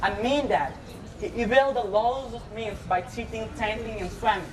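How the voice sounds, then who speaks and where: A woman's voice: a little high, moderate pace, reverberant, lightly acted. A young woman acting a stubborn, self-important husband and bank manager in a school stage play, firm and dismissive. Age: young adult. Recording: distant mic with clear background noise.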